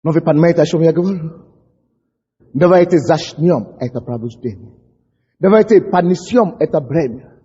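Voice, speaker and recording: High, impassioned pastor in an echoing hall. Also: male voice, normal speed, long pauses, breathy edge. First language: English. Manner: impassioned, shouting prayers, stumbling over words. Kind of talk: fiery sermon. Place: church hall. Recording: dull recording in a large echoing hall.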